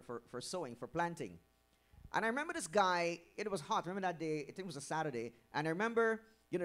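Pastor preaching with emphasis. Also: male voice, on the high side, fairly bright tone. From Guyana. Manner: emphatic, gesturing, repeats key words, asks the congregation questions. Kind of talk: sermon. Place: church hall with curtained stage. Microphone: handheld microphone.

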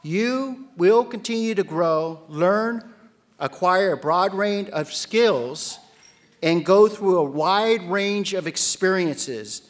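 A middle-aged man delivering a prepared, formal motivational commencement speech at a podium microphone, deliberate and halting, with emphatic pauses. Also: high-pitched. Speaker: commencement speaker, brand strategist. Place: large theater stage at a podium.

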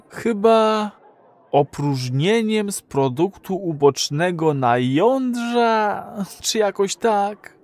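A timid, awkward client in a radio play. His voice is high for a man and he talks slowly, groping naively for each word. The sound is dry and close. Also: a little mellow, dry recording. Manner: hesitant, fumbling for words. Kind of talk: Polish audio drama acting.

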